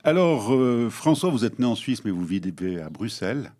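Male moderator, slightly low voice, lively and playful. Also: moderate pace. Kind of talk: panel moderation.

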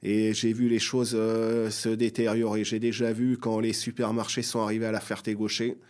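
A middle-aged man from the Seine-et-Marne area of France speaks as a guest in an interview. His voice is fairly low and very even in pitch, and he feels his way through answers, doubling back on words. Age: 40s or 50s. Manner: hesitant, halting, repeating phrases. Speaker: guest writer. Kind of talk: interview answers, personal recollections.

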